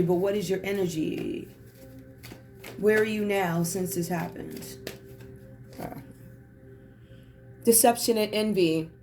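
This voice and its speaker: A hoarse woman doing a casual tarot reading, chatty and reflective with many fillers. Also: medium pitch. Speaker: tarot reader.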